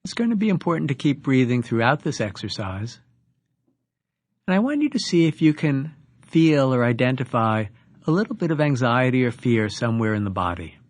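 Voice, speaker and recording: A man leading a guided meditation as a teacher, giving instructions with deliberate pauses between phrases. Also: medium pitch, big pitch swings, no room sound.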